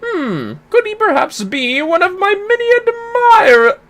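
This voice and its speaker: A man voicing a vain, coquettish, melodramatic game character with a theatrical, drawn-out delivery. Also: extremely high pitch, huge pitch swings, bright, forceful tone, pitched above the performer's own voice, heavily acted. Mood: melodramatic swooning. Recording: music behind.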